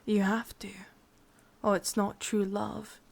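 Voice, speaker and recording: A woman's voice: mid-range voice, flat delivery, slow pace, gravelly. A woman with an English accent playing a sadistic, possessive yandere witch in an ASMR roleplay, mostly whispering in a coaxing yet commanding way. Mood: possessive, insistent.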